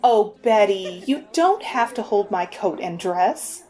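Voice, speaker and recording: A woman's voice: medium pitch, expressive, slow pace, dark tone. A woman playing a fussy, motherly middle-aged lady in a 1940s-style radio comedy. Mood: chatty.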